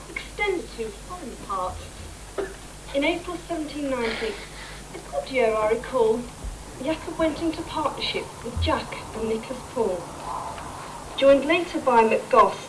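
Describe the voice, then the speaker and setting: A woman narrating an amateur stage pantomime in scripted rhyme. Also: on the high side. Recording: noisy, distant from the mic.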